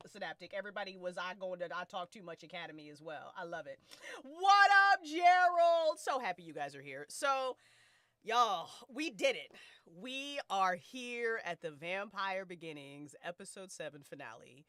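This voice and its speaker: A woman's voice: mid-range voice, moderate pace, no room sound. Hype lady hosting a casual livestream, slangy, sweary, pretty dry close sound. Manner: excitable, hyping, slangy, swearing. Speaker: podcast host, writer-director.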